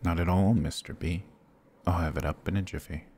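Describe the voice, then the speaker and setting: Man playing a cheerful manservant, low voice, polite, deferential, fantasy ASMR roleplay. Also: fairly even intonation, a little fast, a little mellow, husky. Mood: polite, helpful. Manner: deferential, chipper. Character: polite, cheerful male household servant.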